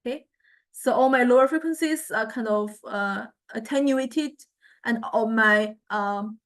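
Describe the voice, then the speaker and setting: A woman lecturer giving an informal software demonstration, hesitantly thinking aloud as she goes. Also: on the high side, measured pace. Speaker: music technology lecturer.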